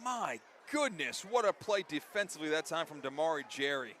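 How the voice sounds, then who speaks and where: A man doing energetic live radio play-by-play commentary of a football game. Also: on the high side, brisk. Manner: energetic, vivid play-by-play delivery. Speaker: play-by-play sports announcer.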